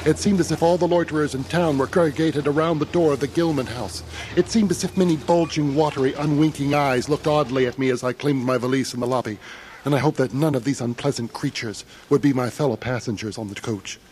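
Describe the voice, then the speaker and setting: An actor playing an anxious, haunted traveller, narrating a horror radio drama in literary, ominous phrases. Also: male voice, mid-range voice, fairly even intonation, darkish tone, slight background noise, close to an everyday voice, poor audio. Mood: uneasy dread. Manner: literary, measured, ominous, urgent under stress. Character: anxious, haunted traveller recounting his ordeal.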